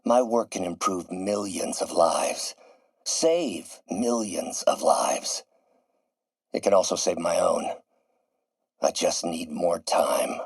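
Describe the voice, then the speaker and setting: An actor voicing a grandiose, middle-aged scientist turning villain in a video game, theatrical and erudite, with precise enunciation. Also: male voice, low voice, normal speed, hardly any pauses, no echo, studio quality. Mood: anxious, weary. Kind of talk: video game voice acting, dramatic monologue.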